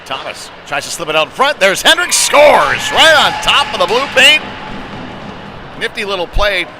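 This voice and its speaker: A man's voice: high-pitched, very animated, moderate pace, music in the background. A man doing live play-by-play of a youth hockey game in short, clipped running phrases. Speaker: play-by-play commentator. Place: live at an ice hockey venue. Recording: noisy rink, clear background noise.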